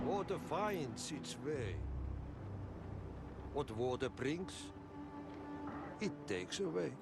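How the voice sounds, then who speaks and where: An actor playing a folksy, cryptic old janitor in a video game, speaking in odd proverbs with loud music behind. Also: male voice, medium pitch.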